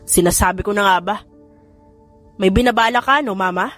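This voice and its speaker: A woman's voice: medium pitch, moderate pace, fairly bright tone, faint music behind, natural voice. A woman voicing a lovestruck young man in an audiobook, a playful and whiny son and suitor. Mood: complaining. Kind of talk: audiobook character dialogue.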